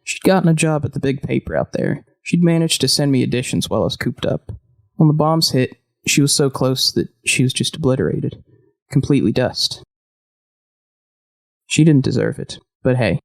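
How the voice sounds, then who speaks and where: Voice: medium pitch, moderate pace, vocal fry, lightly acted. An actor playing an upbeat, scrappy ex-convict in a post-apocalyptic audio drama, breezy and playfully grandiose. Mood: wistful, matter-of-fact.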